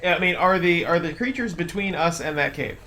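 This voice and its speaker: A woman's voice: on the low side, lively intonation, a little fast, fairly bright tone, slight background noise. A woman playing a tabletop game, talking through plans casually and off the cuff. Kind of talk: casual improvised game chat.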